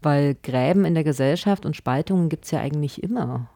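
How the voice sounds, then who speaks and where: Woman, podcast co-host, casual questioning chat, lowish voice, dry sound. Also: fairly quick, mellow, no echo. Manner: chatty, questioning, laughs often. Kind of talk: casual discussion.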